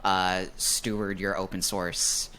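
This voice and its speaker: A man's voice: sits low, level tone, takes it slowly, pushed and bright. A man co-hosting a podcast, asking the guest questions with a few fillers. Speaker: podcast co-host. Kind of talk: casual chat.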